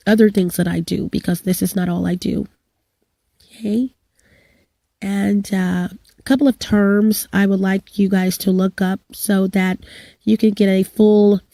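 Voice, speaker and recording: Hoarse woman, middle-aged, rambling to-camera vlog, dry close sound. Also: mid-range voice, warm tone, no echo. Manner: rambling, many fillers and restarts. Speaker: vlogger. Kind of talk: casual to-camera vlog with announcements.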